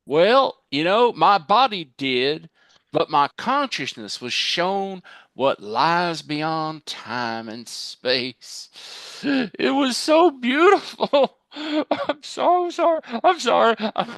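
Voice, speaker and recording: A man playing a blissed-out, earnest alien abductee in a comedy sketch read, awed, sentimental and halting. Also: high voice, expressive, a bit bright, dry recording, full character voice. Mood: tearful awe.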